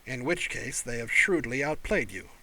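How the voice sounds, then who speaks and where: A man voicing a shrewd alien clan father, a composed diplomat who speaks in a calm, affable, measured way. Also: medium pitch, poor audio. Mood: calm, pointed.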